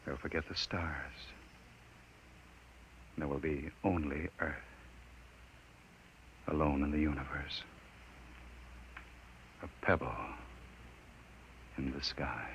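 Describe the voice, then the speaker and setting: A man's voice: extremely low pitch, steady tone, slow, pauses often, a bit bright, heavily acted. An actor playing a weary, despairing man resigned to doom, brooding and solemn, in a 1950s radio drama. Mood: bleak, wistful. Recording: muffled, hissy 1950s radio recording.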